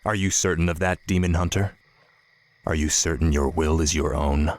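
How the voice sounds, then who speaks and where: A man voicing a cold, cunning human dark knight in a game cinematic, taunting and persuasive in a measured way. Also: rumbling low, fairly even intonation, dry recording. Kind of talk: video game cinematic acting.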